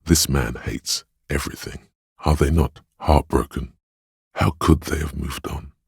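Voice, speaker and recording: A man voicing a solemn, tender inner voice that reads others' feelings in a video game, measured and brooding in delivery. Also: no room sound, studio quality, full character voice. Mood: solemn, sympathetic. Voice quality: deep, husky, gravelly. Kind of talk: scripted video game narration.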